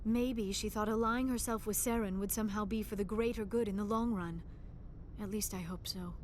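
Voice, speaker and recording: A woman's voice: mid-range voice, fairly even intonation, brisk, darkish tone, slight background noise, natural voice. An actress voicing a young alien woman scientist in a video game, shy and earnest, with formal, precise diction. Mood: troubled. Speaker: archaeologist crew member.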